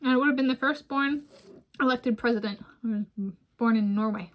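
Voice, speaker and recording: A woman's voice: on the high side, moderate pace, low-quality recording. A woman in her thirties from the United States, chatting casually in a food vlog at home, wandering off topic as she eats. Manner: rambling, digressive, talks while eating. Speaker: vlogger. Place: home room with bookshelves, indoors.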